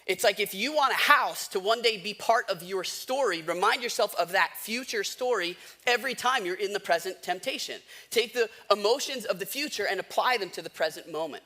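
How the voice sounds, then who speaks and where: An American man in his thirties speaks as a pastor in a casual, conversational style. His voice sits a little high for a man and is very bright and forceful. He uses everyday slang and puts questions to his listeners as he tells personal stories. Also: animated, a little fast. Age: 30s. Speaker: pastor preaching.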